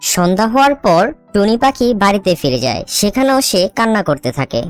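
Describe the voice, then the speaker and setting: A woman narrates a children's cartoon tale from a script. Her voice sits at a middle pitch, with soft music playing underneath. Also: big pitch swings, normal speed.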